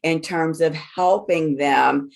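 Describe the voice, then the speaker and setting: A woman speaks at a very slow pace in a slightly low voice. She explains things deliberately, as in a personal spiritual reading, stressing points through repetition. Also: creaky. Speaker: astrologer giving a birth chart reading.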